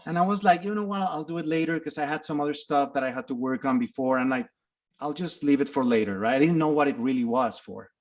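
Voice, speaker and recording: A man's voice: on the high side, quick pace. A middle-aged man from Mexico with a Mexican Spanish accent, Spanish his first language, telling stories as a guest in a casual interview. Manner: animated storyteller with frequent restarts and laughs. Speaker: guest actor.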